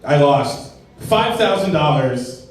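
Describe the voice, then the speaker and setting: A man's voice: on the high side, slow. Man doing stand-up in an echoey club. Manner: animated storytelling with put-on voices for friends and coworkers. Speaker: stand-up comedian. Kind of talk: stand-up comedy set. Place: comedy club stage. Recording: roomy, distant mic in a club.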